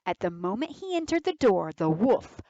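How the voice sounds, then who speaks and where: A woman storyteller reading aloud to children in a deliberate, dramatic narrating style. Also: mid-range voice, big pitch swings, warm tone, no room sound, highs cut off.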